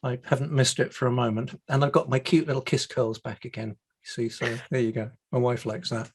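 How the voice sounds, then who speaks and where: A man's voice: medium pitch, flat delivery, brisk, slightly rough. Jokey chatty man hosting a video call. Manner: jokey, self-deprecating, chatty. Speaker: meetup host. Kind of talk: casual online meetup chat.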